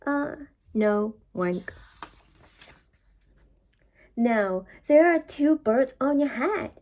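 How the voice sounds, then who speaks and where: A woman voicing a calm, helpful little pig from a children's storybook, answering matter-of-factly in short lines. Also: a little high, very slow.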